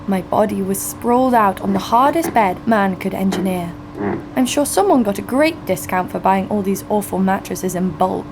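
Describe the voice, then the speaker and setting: A woman's voice: mid-range voice, normal speed, background noise, lightly acted. A woman playing a wry, adventurous young ship's guard who narrates her own story with a sardonic touch in a scripted audio drama. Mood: wry storytelling.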